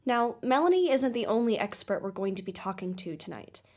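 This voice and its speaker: A woman playing a composed investigative podcast host, with measured, formal delivery in a scripted audio drama documentary. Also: a little high, lively intonation, darkish tone. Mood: inquisitive, courteous.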